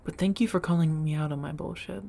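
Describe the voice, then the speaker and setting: A woman as a low, soft-spoken centuries-old vampire roommate. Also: fairly even intonation, gravelly, faint music behind. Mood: apologetic, fond. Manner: measured, formal wording with endearments, hesitant confessions. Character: centuries-old female vampire roommate, gentle, guarded, affectionate. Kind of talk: soft-spoken ASMR roleplay.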